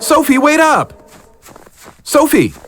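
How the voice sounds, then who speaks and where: A man's voice: very high-pitched, wildly swooping pitch, takes it slowly, full character voice. An actor playing an earnest, wounded young man in an audio drama. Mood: urgent.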